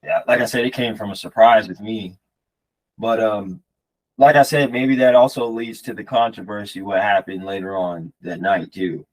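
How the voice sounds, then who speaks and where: Male co-host, lower register, casual conversational answers on a sports show. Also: normal speed, a little mellow, rough recording. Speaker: podcast co-host. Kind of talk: casual chat.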